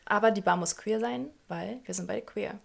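Gravelly-voiced woman podcast co-host, thirties. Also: medium pitch, normal speed. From Leipzig, Germany. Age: 30s. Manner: enthusiastic, chatty. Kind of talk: casual chat.

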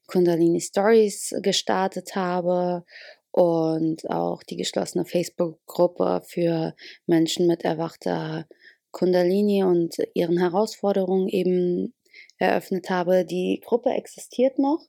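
A young woman hosting a podcast, talking casually and spontaneously from her bedroom in a relaxed, rambling way with many fillers. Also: lowish voice, unhurried, gravelly, dry recording, clean studio sound. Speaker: podcast host.

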